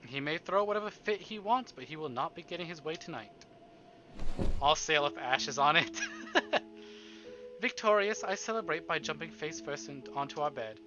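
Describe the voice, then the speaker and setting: A man's voice: a little high, animated, normal speed, muffled recording. A man live streaming, reading a story aloud and adding playful, exclamatory asides to his chat. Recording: music behind the voice.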